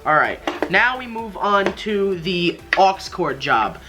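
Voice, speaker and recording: A man's voice: high-pitched, very animated, takes it slowly, very noisy. A young man hosting a casual step-by-step tutorial in an informal, rambling, slangy way, recorded indoors in a small room. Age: young adult. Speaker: host of a tech tutorial.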